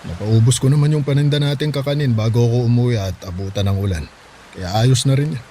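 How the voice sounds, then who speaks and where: A man's voice: medium pitch, quick pace, dark tone, a little hiss or hum, close to an everyday voice. A man playing a hardworking middle-aged father in a Filipino radio drama, plain-spoken and reassuring, with a Filipino accent. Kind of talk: radio drama acting in Tagalog.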